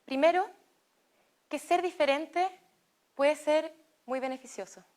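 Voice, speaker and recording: A young woman with a Chilean Spanish accent tells a personal story in a fairly high voice. She speaks slowly and deliberately and pauses between phrases. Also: a little mellow. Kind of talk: personal testimony read as narration.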